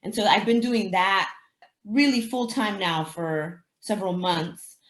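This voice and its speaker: In a roomy, echoing recording, a woman educator from the Dominican Republic answers interview questions. Spanish is her first language. She speaks at a middle pitch and explains conversationally, thinking aloud and asking rhetorical questions. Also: unhurried, fairly bright tone. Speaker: teacher and anti-racism educator, interviewee.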